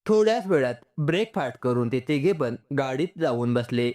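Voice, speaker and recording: A man's voice: mid-range voice, expressive, normal speed, darkish tone. A man reading a scripted, sentimental story as its narrator.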